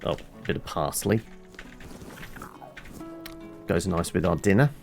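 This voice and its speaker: A man's voice: deep voice, takes it slowly, creaky, soft background music. A British man giving casual, chatty live commentary as he plays a game, with wry asides. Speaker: game streamer.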